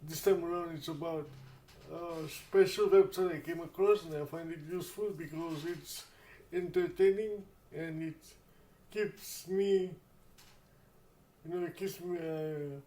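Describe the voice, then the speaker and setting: Higher-voiced man presenting in an echoey room. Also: a little mellow. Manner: halting, repeats words. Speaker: tech channel host. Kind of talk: casual spoken tech demonstration.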